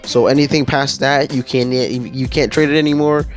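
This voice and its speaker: A man talks through game update notes in a loose, casual vlog style, stopping and restarting his sentences as he goes. His voice sits at an ordinary middle pitch. Soft music plays quietly in the background. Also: steady tone, normal speed. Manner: rambling, lots of fillers and restarts. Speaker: gaming channel host. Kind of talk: casual patch-notes rundown.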